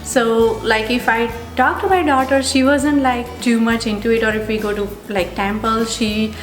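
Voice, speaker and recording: A woman's voice: on the high side, fairly even intonation, moderate pace. A woman in her thirties from India, speaking with an Indian accent. She is being interviewed and talks in a hesitant way, with many fillers and restarts. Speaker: interviewee, physical therapist. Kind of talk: conversational interview. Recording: echoey room with background music.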